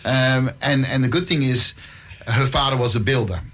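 A man's voice: mid-range voice, moderate pace, a bit bright, a little hiss or hum. A middle-aged man with a Dutch accent, a community radio presenter being interviewed about his life, talking casually with self-deprecating humour and many hesitations. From Netherlands.

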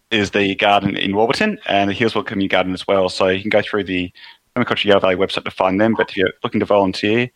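Host: man, low voice, rapid upbeat patter, dry studio sound. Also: a bit bright, dry recording, poor audio. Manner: upbeat, rambling, eager. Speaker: radio host. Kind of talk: radio interview.

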